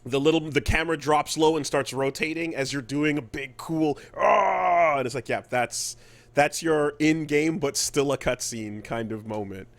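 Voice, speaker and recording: A man's voice: a little high, normal speed, creaky, no echo. A man co-hosting a video game podcast, chatting casually and acting out what he describes. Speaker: podcast co-host.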